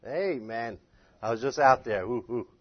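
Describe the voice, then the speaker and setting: A man's voice: mid-range voice, a little slow, slightly rough, dull audio. A man teaching a class informally, addressing the group in a folksy, teasing, encouraging way. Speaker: instructor leading a church-planting class.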